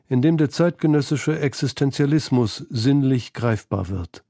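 A man narrates a literary radio feature in a mellow, relaxed tone. His delivery is composed and articulate, recorded dry in a studio. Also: mid-range voice, no echo. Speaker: documentary narrator.

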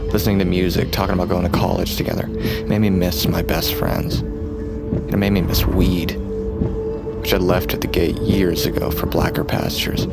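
Low, brooding young man narrating over music. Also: gravelly. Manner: brooding, subdued. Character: Sam as narrator, bitter, haunted young man. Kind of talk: scripted first-person audio drama narration. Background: prominent music.

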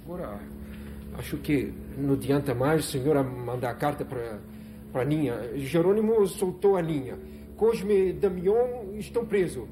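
A man's voice: a little high, lively intonation, moderate pace, background noise, natural voice. A man acting a hapless, submissive bandit henchman in a Brazilian telenovela, plaintive, hesitant and apologetic. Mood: resigned. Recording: old TV soundtrack under music.

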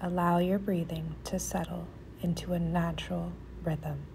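A woman leading a guided meditation in a soft, soothing, often near-whispered voice with pauses. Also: on the low side, little pitch movement, vocal fry, a little hiss or hum, poor audio.

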